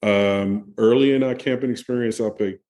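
A man guest on a video call, relaxed and telling stories with many fillers. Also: on the low side, moderate pace. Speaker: guest, camper.

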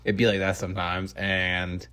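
An American man in his thirties hosting a casual comedy podcast, swearing freely and telling stories in an animated, self-deprecating way. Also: low voice, moderate pace, slight background noise. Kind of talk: casual, profane chat about movies and games.

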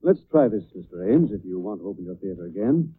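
An actor playing a composed, wealthy young amateur sleuth in a 1940s radio drama. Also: male voice, low-pitched, big pitch swings, fast talker, slight background noise. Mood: calm, probing. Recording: dry narrow-band old radio recording.

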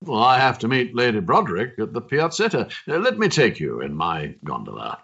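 An actor playing a genial, gossiping older Victorian society doctor, with fussy, hesitant, pompous politeness in a period stage drama. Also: male voice, mid-range voice, huge pitch swings, fairly quick, vocal fry, fairly bright tone, husky, highs cut off, heavily acted. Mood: tactful.

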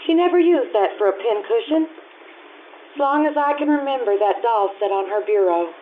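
A woman's voice: a little high, animated, moderate pace, slight background noise, close to an everyday voice. An actress playing a sentimental mother who tells her story earnestly in old-time radio drama style. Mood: fond, nostalgic. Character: sentimental mother fondly recalling a childhood Christmas. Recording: narrow-band stage radio show recording with music.

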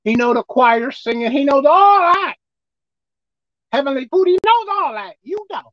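A man with a squeaky voice preaching a sermon as pastor, emphatic and forceful. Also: big pitch swings, moderate pace, no echo, muffled recording. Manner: emphatic, pitch leaping up and down.

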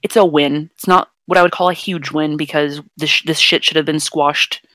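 A young non-binary podcast host with a fairly deep voice, talking frankly and at length in a casual chat. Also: fairly even intonation, moderate pace, sharp tone, no echo. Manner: earnest, frank, explains at length.

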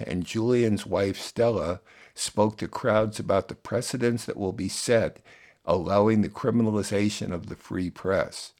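A man's voice: on the low side, darkish tone. A man hosting a radio show, reading scripted links and news summaries. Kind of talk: scripted narration.

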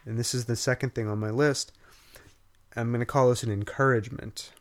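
A man's voice: medium pitch, steady tone, brisk. A man with a nasal voice co-hosting a casual podcast, joking dryly at his own expense. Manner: dry, self-mocking humor. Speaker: podcast co-host. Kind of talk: casual chat. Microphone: podcast studio mic.

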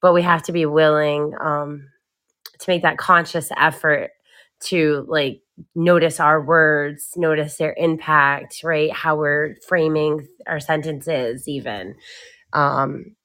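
A loud woman talking animatedly in a casual spiritual chat, telling stories with fillers and laughter. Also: low voice, fairly even intonation, slow.

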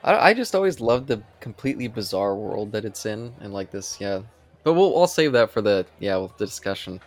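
A man's voice: on the low side, big pitch swings, brisk. A man co-hosting a podcast in casual, conversational chat. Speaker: podcast co-host.

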